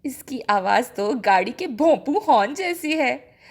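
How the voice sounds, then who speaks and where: A woman's voice: sits high, expressive, a little slow, full character voice. A woman voicing a curious, playful schoolgirl full of eager wonder in a children's story.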